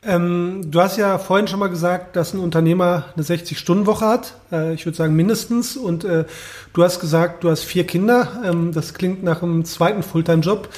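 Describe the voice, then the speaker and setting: A man's voice: high voice, fairly even intonation, moderate pace, a little mellow. A man asking interview questions in a conversational way, with a few hesitant fillers.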